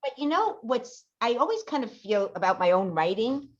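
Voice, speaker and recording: A woman's voice: on the high side, very animated, normal speed. An older woman chatting earnestly and casually in a discussion, often adding "you know". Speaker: workshop participant, amateur writer and artist. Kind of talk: casual post-show discussion. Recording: dull lo-fi call audio.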